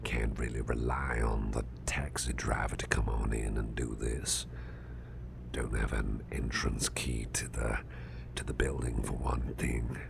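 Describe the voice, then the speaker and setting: A man playing a big, muscular but softened grumpy boss in an ASMR roleplay, speaking in a gravelly, breathy near-whisper with a gentle, slightly formal manner. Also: very deep voice, flat delivery, background noise, exaggerated voice. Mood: calm, thoughtful. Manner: slow, murmuring, gently reassuring, slightly formal.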